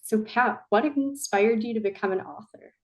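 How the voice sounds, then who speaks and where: A woman's voice: a little high, moderate pace, low-quality recording. A woman hosting an interview who introduces the questions in a friendly, plain way. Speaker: interviewer, host.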